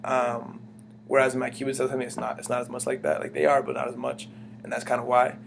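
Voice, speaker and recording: A young man speaks in quick bursts and trips over his own words, restarting his sentences. His voice has a gravelly edge. A steady hum runs under the recording. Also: medium pitch. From United States. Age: young adult. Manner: rambling, many fillers and restarts. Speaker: interviewee. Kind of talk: casual conversational interview. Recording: humming background noise.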